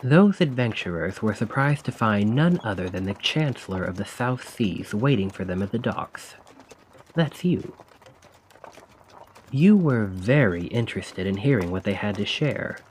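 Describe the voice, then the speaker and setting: Man acting a slow, sly, vengeful carriage driver. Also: fairly low pitch, expressive, slight background noise, low-quality recording. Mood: sly, teasing. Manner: slow, sardonic, conspiratorial, taunting. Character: vengeful male carriage driver, sly, mocking, coldly controlled. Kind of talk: ASMR roleplay audio drama monologue.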